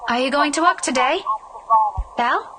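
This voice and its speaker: A man's voice: very high-pitched, normal speed, voice pushed up. A man voicing a concerned young woman in a horror visual novel, in a questioning, coaxing manner. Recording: clear background noise.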